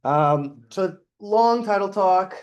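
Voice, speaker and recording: A man's voice: high-pitched, animated, slow. A middle-aged man giving a conference talk on stage, casual and self-deprecating, with many restarts and fillers. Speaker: conference speaker. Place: conference stage before an audience.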